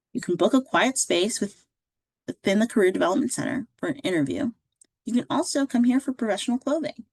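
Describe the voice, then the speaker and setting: A woman reading a scripted instructional narration as a career services presenter, in a clear, measured, instructive way. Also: mid-range voice, darkish tone, no echo, rough recording.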